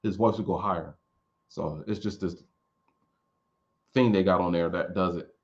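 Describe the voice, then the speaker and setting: A man's voice: sits low, moderate pace, highs cut off. Rambling man in his late thirties. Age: 30s. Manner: loose, rambling, frequent restarts. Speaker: YouTube remix producer answering viewers. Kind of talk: casual live chat.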